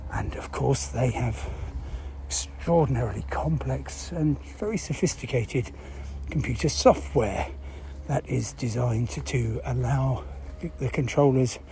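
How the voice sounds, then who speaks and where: A man with a mellow, relaxed voice talks listeners through a news story. His delivery is unscripted and halting, as he thinks aloud and asks his own questions before answering them. There is some noise behind him. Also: mid-range voice. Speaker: presenter explaining news. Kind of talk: explanatory talk to listeners, unscripted. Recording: clear background noise.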